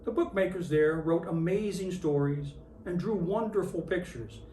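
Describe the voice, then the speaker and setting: A reader in character as Santa Claus, reading a children's picture book aloud with expressive storytelling. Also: medium pitch, moderate pace. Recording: roomy, distant mic with faint noise.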